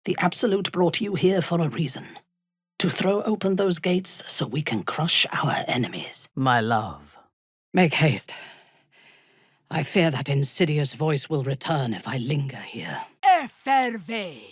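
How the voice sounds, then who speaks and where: A woman voicing a ruthless, sensual female warrior commander in a fantasy video game, with a husky, imperious and measured menacing delivery. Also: low-pitched, sing-song, no echo, clean studio sound, exaggerated voice. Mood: commanding, then intimate, solemn, then fierce battle cry. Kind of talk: dramatic fantasy game dialogue.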